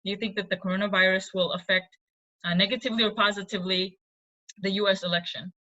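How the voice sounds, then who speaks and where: A woman's voice: mid-range voice, little pitch movement, fast, bright, forceful tone. A woman moderating a roundtable discussion who asks questions with light, friendly laughter. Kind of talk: casual discussion. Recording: dull lo-fi audio.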